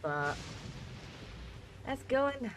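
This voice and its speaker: A woman's voice: high voice, sing-song, extremely slow, a bit bright, slight background noise. A woman doing casual let's play commentary, throwing in dry, amused asides. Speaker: gaming YouTuber. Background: faint game music.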